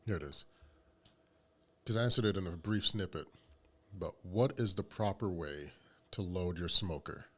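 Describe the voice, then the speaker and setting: Male co-host with a very deep voice, easygoing and joking, casual podcast talk. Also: moderate pace, long pauses, fairly bright tone. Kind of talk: casual chat.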